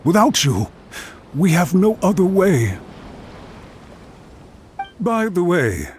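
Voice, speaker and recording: A man's voice: high-pitched, very animated, slow, darkish tone, a little hiss or hum, heavily acted. An actor playing a humbled, anxious male official in a video game, pleading with formal, obsequious politeness. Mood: earnest, urging. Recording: background music under the voice.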